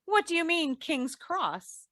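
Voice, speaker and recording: A woman playing a patient, sensible, gently teasing housewife in old-time radio comedy, with a folksy, gently chiding manner. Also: extremely high pitch, big pitch swings, takes it slowly, no echo, studio quality, heavily acted. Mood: wry.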